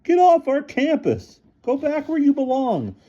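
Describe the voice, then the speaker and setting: A man voicing a gruff male coach who bellows in a strained, high voice. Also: huge pitch swings, unhurried, muffled recording, exaggerated voice. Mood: outraged. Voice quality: loud, strained shouting.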